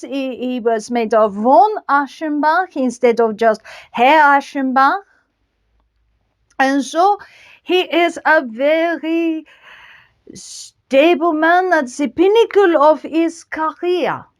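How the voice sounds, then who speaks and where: A woman with a French accent hosting a casual book review. She talks in halting phrases, restarts often, and sprinkles in French words. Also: high-pitched. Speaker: book channel host.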